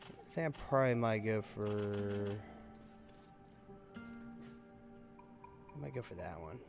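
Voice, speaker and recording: A man giving casual, halting gameplay commentary as a YouTuber, thinking aloud as he goes. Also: lowish voice, animated, over music. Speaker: gaming YouTuber.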